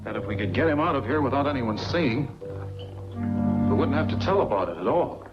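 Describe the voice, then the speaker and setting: An actor playing a calculating, persuasive accomplice in a 1940s film noir style. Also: male voice, medium pitch, expressive, fairly quick, over loud music, close to an everyday voice. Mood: urgent persuading. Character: calculating male accomplice, persuasive and self-protective. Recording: muffled 1940s film soundtrack.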